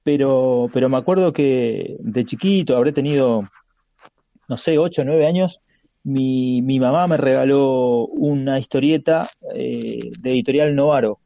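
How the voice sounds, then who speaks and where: A man in his forties, born in Chile with an Argentine Spanish accent, chatting as a podcast guest with many pauses and restarts. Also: mid-range voice, vocal fry, dark tone, dry recording, lo-fi sound. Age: 40s. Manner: hesitant, halting with frequent restarts. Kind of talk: casual conversation.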